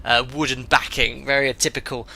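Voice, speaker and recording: A man streaming live as a flight simulator host, chatting and reading out chat messages, congested from a cold with a croaky voice and many filler ums and uhs. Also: medium pitch, fairly even intonation, a little fast, bright, forceful tone. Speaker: streamer and airline pilot.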